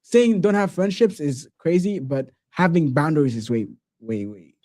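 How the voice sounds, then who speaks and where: A man from Tanzania hosting a casual interview, speaking in an animated, slangy and opinionated way. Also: fairly high pitch, normal speed, no room sound, clean studio sound.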